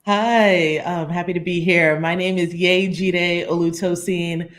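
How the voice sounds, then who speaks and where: A woman's voice: fairly low pitch, fairly even intonation, a little slow. A woman speaking as a panelist, introducing her professional background. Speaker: panelist, climate finance leader.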